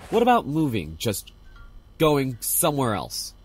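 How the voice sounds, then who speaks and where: A man playing a glum, weary bearer of bad news in an animated short, hesitant and downbeat. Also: mid-range voice, measured pace, husky, faint music behind, heavily acted. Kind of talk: animated short film dialogue.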